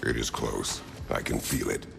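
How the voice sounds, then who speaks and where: An actor playing a stern, brooding middle-aged warrior god and father in a video game, speaking tersely and formally in a gravelly rumble. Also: fairly even intonation, moderate pace, full character voice. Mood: grim, focused. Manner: terse, clipped, formal phrasing. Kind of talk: video game dramatic acting. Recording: loud game music behind.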